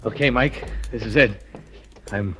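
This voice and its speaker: An actor playing a jittery young male factory worker who narrates in tense, halting first person in a vintage radio drama. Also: mid-range voice, sharp tone, faint music behind. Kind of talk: 1940s radio acting.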